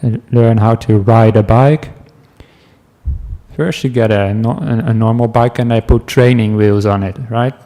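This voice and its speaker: A man from the Netherlands with a Dutch accent, a meditation teacher giving a relaxed talk to a class, telling stories with asides and small laughs. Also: fairly low pitch, a little slow. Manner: gentle, chatty storytelling with asides and small laughs.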